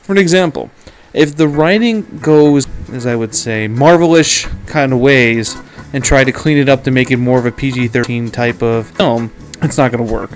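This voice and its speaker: Emphatic Canadian man hosting a podcast. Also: mid-range voice. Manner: blunt, emphatic, swearing. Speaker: podcast host. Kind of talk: opinionated film commentary.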